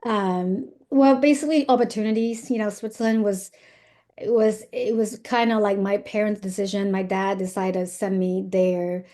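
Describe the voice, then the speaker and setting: A woman's voice: mid-range voice, steady tone, moderate pace, mellow. A woman in her thirties from China with a Chinese accent, a podcast guest talking casually and earnestly, with many fillers and restarts. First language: Zhuang dialect or Mandarin. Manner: laughs easily. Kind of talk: casual interview chat.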